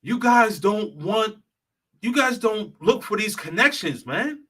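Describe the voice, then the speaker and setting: A man's voice: sits high, animated, slow, pushed and bright, slightly rough. A man who works as a travel consultant, giving a blunt, profane, lecturing monologue straight to the viewer. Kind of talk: heated straight-talk monologue.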